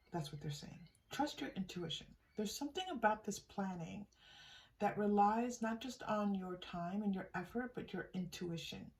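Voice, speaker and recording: A woman giving an improvised spiritual tarot reading straight to the viewer, in a halting, conversational way that often seeks agreement. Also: medium pitch, darkish tone, recorded from a distance. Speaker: tarot reader and channel host.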